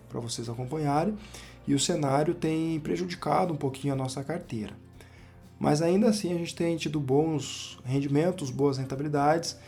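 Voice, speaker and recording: A hoarse Brazilian man with a cold talks through his screen share in a relaxed, chatty way and keeps saying "né" and "pessoal". His voice sits mid-range with a mellow, dark tone. Soft music plays faintly behind him. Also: medium pitch. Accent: Brazilian Portuguese. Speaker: finance channel host. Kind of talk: casual screen-share commentary on investments.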